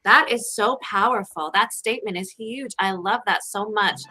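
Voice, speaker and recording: A woman's voice: mid-range voice, moderate pace, sharp tone. A woman co-hosting a talk show, introducing a guest in an enthusiastic, upbeat way. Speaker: co-host.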